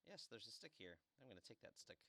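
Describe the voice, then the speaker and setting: Man, low voice, bright crisp tone, wry, casual gaming host. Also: big pitch swings, quick pace, no echo. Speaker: host playing through a game. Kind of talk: casual game commentary.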